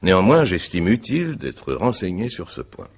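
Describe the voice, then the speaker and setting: A very deep-voiced examining magistrate in a radio mystery. He is husky and gravelly, a courteous man who probes methodically with formal, measured, hesitant questions. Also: wildly swooping pitch, exaggerated voice. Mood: measured, insistent. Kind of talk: French radio mystery drama acting.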